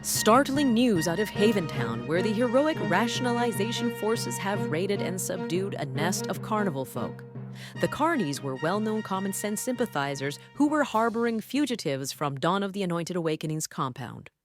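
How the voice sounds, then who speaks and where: An actress playing a polished, emphatic news anchor delivering a mock broadcast in an audio drama. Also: female voice, mid-range voice, sing-song, normal speed, over music, lightly acted. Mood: urgent, professional.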